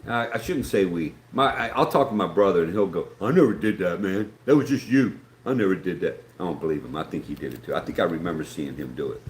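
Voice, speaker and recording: A man's voice: sits low, fast talker, hardly any pauses. A middle-aged man from West Virginia with an Appalachian accent, chatting casually and rambling as he hosts a live stream and reads out viewer comments. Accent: Appalachian American. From West Virginia, USA. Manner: rambling, folksy, reads chat aloud. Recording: echoey room with faint background noise.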